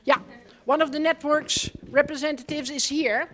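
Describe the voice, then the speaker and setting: A high-voiced woman runs the room as host. She fires short, informal questions at the audience through a handheld mic in a large hall. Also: fairly even intonation, moderate pace, a bit bright, slight background noise. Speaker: event host introducing team members. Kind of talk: live panel introductions. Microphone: handheld microphone.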